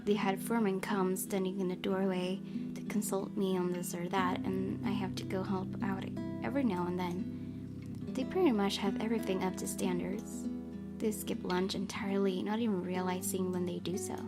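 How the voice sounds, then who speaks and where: A woman reads story narration from a visual novel as a gaming host, dropping in her own asides. Her voice is medium in pitch, and guitar music plays clearly behind her. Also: steady tone, moderate pace. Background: guitar game music.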